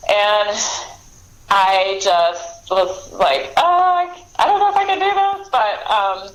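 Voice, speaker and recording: A woman's voice: medium pitch, very animated, slow, rough recording. An American woman talking casually as an author in an interview, in a chatty, rambling way.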